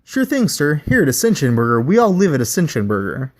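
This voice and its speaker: A man putting on a chirpy high fast-food cashier. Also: wildly swooping pitch. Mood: chipper. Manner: scripted, cheery sales patter. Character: relentlessly chipper male fast-food cashier.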